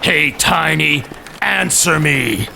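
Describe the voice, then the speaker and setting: A man's voice: fairly high pitch, takes it slowly, gravelly, sharp tone, a little hiss or hum, full character voice. An actor playing a brash, taunting young male ruffian in an audio drama. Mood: belligerent. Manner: drawling, taunting.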